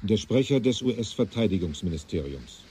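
A man's voice: lowish voice, animated, moderate pace, dark tone, faint music behind, close to an everyday voice. A man acting in a radio play as an impersonal announcer giving terse headings. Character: clipped, impersonal male announcer of report sources.

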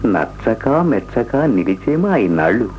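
An actor playing a smitten, courtly stranger in a radio play, speaking in a measured, poetic, gallant way. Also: male voice, fairly low pitch, very animated, faint music behind. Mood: smitten, wistful. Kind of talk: theatrical radio play acting. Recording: dull, noisy old radio play recording.

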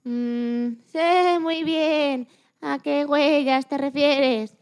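Woman as a timid sheep, high and very slow. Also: steady tone, full character voice. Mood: fearful, helpful. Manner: hesitant, drawn-out delivery. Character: timid, helpful female sheep, easily frightened. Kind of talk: radio play for children.

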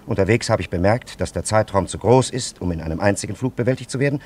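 An actor playing a solemn, condescending elderly scholar from antiquity in a radio play, with archaic and over-courteous diction. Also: male voice, lowish voice, fast talker, darkish tone, close to an everyday voice. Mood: solemn explaining. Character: solemn, condescending ancient scholar and time traveller, elderly man.

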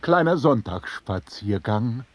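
A man's voice: mid-range voice, sing-song, slow, no room sound. A man playing a taunting, pompous bully who leads a rowdy gang, lecturing with mock politeness in a radio play. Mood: mocking false politeness. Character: jeering, sadistic antisemitic bully, leader of a rowdy men's group. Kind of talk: German radio play acting.

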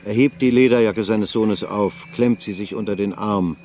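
Low-voiced male drama narrator. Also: darkish tone, soft background music. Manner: measured, unhurried storytelling. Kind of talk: literary radio drama narration.

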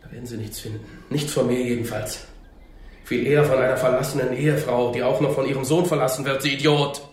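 A man's voice: medium pitch, steady tone, fast, reverberant, lightly acted. An actor playing a distraught, defiant middle-aged father in a radio crime drama, with an agitated, bitter way of talking. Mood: bitter, scornful.

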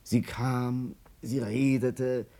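A man's voice: medium pitch, little pitch movement, very slow, gravelly, dark tone. A man acting a wry narrator in a 1950s radio drama, confiding a long saga to his listeners in theatrical fashion.

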